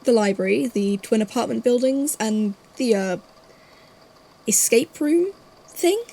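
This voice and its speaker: A woman playing a calm, curious archivist who puts measured interview questions in a fan audio drama. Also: fairly high pitch, gravelly, exaggerated voice.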